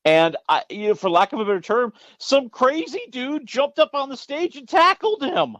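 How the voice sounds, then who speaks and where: A man's voice: extremely high pitch, expressive, moderate pace, a bit bright, no echo. A man giving a conversational entertainment report as a radio guest, telling stories in a chatty, emphatic way.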